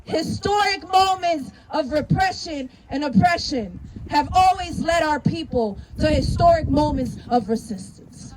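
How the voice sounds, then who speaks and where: A woman's voice: high voice, animated, unhurried, hardly any pauses, noisy background. A woman organizer giving an impassioned outdoor rally speech and leading call-and-response chants. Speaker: protest speaker, organizer. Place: outdoor protest in a city. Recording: loud crowd and music around the speaker. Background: chanting crowd, music.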